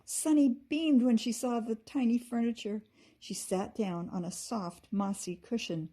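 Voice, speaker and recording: A woman with a husky voice reading a children's story aloud expressively, like a teacher to her class. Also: a little high, measured pace, mellow.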